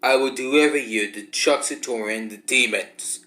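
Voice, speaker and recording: A man's voice: medium pitch, moderate pace, heavy background noise, close to an everyday voice. A man acting a cold, boastful, defiant cartoon fighter in an amateur production, speaking in a menacing, declamatory way.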